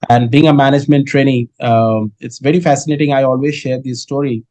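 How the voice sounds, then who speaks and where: A middle-aged man from Kolkata with an Indian English accent, answering interview questions in a measured way with many filler sounds. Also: mid-range voice, fairly even intonation, warm tone, no room sound. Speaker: guest, dairy business head.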